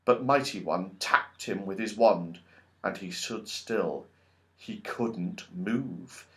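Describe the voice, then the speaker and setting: A man reads a children's story as narrator. His medium-pitched voice is expressive and dramatic, moving through wide, lively contours at a slow, unrushed pace. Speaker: audiobook narrator.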